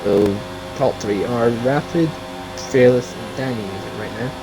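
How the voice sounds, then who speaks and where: A man's voice: medium pitch, normal speed, vocal fry, background noise. A man co-commentating a sim race in casual live banter, joking as he goes.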